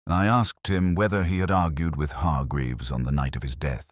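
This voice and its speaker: A man playing a brooding, melancholy police detective, narrating his story in a measured, solemn, literary manner. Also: extremely low pitch, steady tone, no echo, studio quality. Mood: brooding, reflective.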